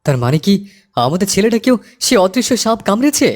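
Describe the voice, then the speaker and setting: A man voicing an anxious village father in a cartoon, explaining things quickly and with alarm. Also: high-pitched. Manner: fast, animated, alarmed. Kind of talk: cartoon voice acting.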